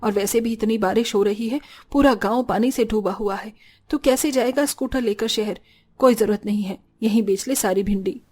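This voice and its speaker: Bossy village mother-in-law played by a woman, highish voice. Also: normal speed, darkish tone, close to an everyday voice. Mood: scolding, firm. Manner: scolding, assertive. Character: bossy, sharp-tongued village mother-in-law, devoted businesswoman. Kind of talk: Hindi cartoon story dialogue.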